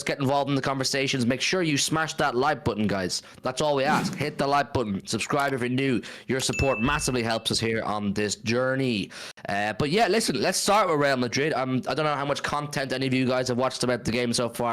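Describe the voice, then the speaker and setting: A man with a slightly hoarse, worn voice, chatting casually and animatedly as host of a football fan livestream, repeating phrases for emphasis. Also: medium pitch. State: hoarse from singing and drinking.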